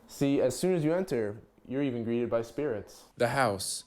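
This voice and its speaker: A man's voice: medium pitch, lively intonation, normal speed, a little mellow. A man reporting as a student TV news journalist, reading scripted narration.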